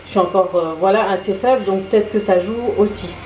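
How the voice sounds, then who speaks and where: A tired woman talks casually to her viewers from her kitchen, hesitant and full of fillers. Her voice sits at a middle pitch. The room rings with echo under a faint hiss. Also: fairly even intonation, fairly quick, warm tone. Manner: chatty, hesitant, many fillers. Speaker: vlogger. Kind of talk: casual vlog chat. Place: home kitchen.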